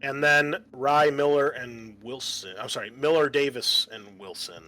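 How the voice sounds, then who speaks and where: A man running a tabletop roleplaying game as game master, narrating informally and haltingly as he improvises the scene. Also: mid-range voice, sharp tone, slight background noise.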